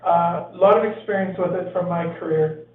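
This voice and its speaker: A man's voice: high voice, fairly even intonation, fairly quick. A man, a town council member, speaking in a reassuring, supportive way during a meeting. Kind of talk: meeting discussion. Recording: tinny, echoey hall audio from a distant mic.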